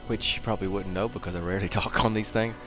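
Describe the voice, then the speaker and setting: A man's voice: fairly low pitch, steady tone, fast talker, fairly bright tone, soft background music. A man with a congested, sniffly head cold, giving casual, rambling game commentary as the host of a livestream.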